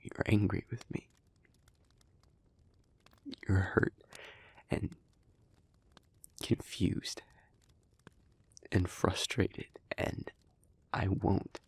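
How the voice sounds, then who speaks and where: A man playing a gentle, solemn, remorseful demon in an intimate audio roleplay, speaking to the listener in a breathy, halting, earnest near-whisper. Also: deep voice, heavily acted. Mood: gentle, understanding. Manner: slow, halting, earnest, with long pauses.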